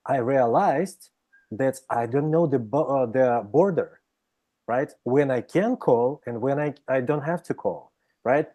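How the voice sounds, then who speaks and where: Russian-accented man, mellow and hesitant. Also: mid-range voice, a little slow. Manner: hesitant, frequent "right?" tags and restarts. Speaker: psychologist guest. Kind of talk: interview conversation.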